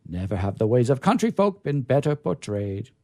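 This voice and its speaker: A man acts out a pompous, exacting newspaper boss in an old science fiction story. He declaims and lectures his writers grandly. His pitch leaps around with great animation over a slightly gravelly tone. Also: sing-song, moderate pace, warm tone. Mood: effusive praise.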